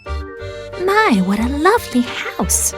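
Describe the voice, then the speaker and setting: A woman voicing a gentle, house-proud little female squirrel in a children's storybook, sweet, homely and exclamatory. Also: sits high, big pitch swings, takes it slowly, over music, voice pushed up, heavily acted. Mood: admiring.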